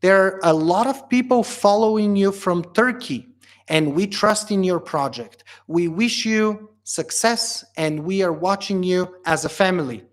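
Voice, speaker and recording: A man's voice: high voice, unhurried. A man from Bulgaria hosting a livestream Q&A as company founder, reading out viewer questions and answering them casually with lots of fillers. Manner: casual, reads questions aloud then answers with many fillers.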